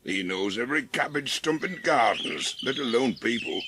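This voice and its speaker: An actor playing a grumpy old gardener with a gruff manner and a Yorkshire accent in a dramatised audiobook. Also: male voice, mid-range voice, expressive, normal speed, background noise, close to an everyday voice. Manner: gruff, dialect-heavy. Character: grumpy old male Yorkshire gardener, earthy, grudgingly kind. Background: birdsong.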